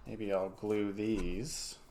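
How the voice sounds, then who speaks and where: A man's voice: on the low side, level tone, extremely slow. A man teaching a casual craft demonstration in an encouraging, easygoing way, murmuring little asides as he works. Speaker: art teacher. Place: home studio. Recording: clear background room noise.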